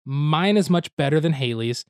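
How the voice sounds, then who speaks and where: A slightly hoarse man from Oklahoma, co-hosting a podcast in easygoing, rambling casual chat. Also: on the high side, lively intonation, normal speed, a little mellow, no echo, studio quality. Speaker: podcast co-host.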